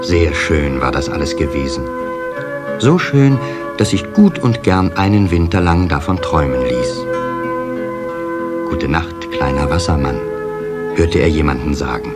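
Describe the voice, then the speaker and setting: A man narrating a children's radio play as an expressive storyteller. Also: low voice.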